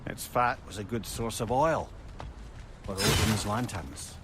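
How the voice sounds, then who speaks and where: An actor voicing a clever, remorseful old advisor in a video game, with an earnest, confiding way of talking. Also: male voice, fairly low pitch, measured pace, very noisy, lightly acted. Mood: matter-of-fact. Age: older.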